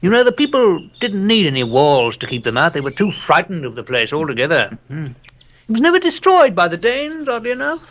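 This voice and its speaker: An erudite captain in an audio horror drama, with a fairly high voice that swings widely in pitch. He is excitable and theatrical, pausing and savoring each grisly detail of old legends. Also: exaggerated voice. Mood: erudite, intrigued. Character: excitable, erudite English captain relating dark local legends. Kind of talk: audio drama dialogue, storytelling.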